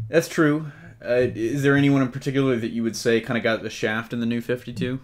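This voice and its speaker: A man's voice: mid-range voice, fairly even intonation, brisk. A man hosting a casual panel chat about comics, with a wry, joking way of talking. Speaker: podcast host.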